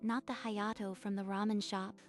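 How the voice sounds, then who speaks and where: An actress playing a loyal, modest, gently teasing colleague in a manga dub, with a supportive, understated manner. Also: female voice, mid-range voice, little pitch movement, moderate pace, soft background music, natural voice. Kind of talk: dramatized manga dub voice acting.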